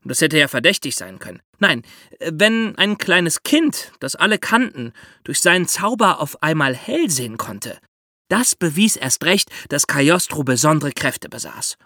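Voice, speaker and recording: A man's voice: on the high side, big pitch swings, a little slow, pushed and bright, no room sound, studio quality. A man co-hosting a history podcast, explaining the story with chatty asides. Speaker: podcast host.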